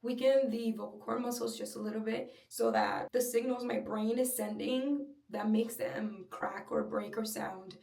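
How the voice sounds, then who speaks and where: A young woman with a slightly breathy, gravelly voice talks in a chatty, open vlog, full of little fillers. Her voice is a touch high for a woman. She sits a little back from the mic in a room with a roomy echo. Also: steady tone, unhurried, hardly any pauses, mellow, far from the mic. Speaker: vlogger. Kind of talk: casual personal vlog.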